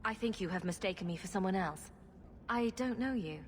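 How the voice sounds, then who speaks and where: A woman's voice: medium pitch, lively intonation, a little fast, slight background noise, lightly acted. An actress playing a wry, sarcastic female adventurer in a fantasy game, with a dry delivery. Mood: dry, questioning. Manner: dry, sardonic. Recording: long reverb, clear background ambience.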